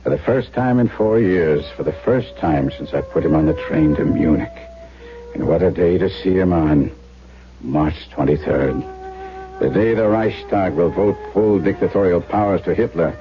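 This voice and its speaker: An actor playing a weary, principled older German doctor, gentle and skeptical, in a 1970s radio drama. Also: male voice, deep voice, moderate pace, natural voice. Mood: reflective narrating. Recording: muffled old radio broadcast, music behind.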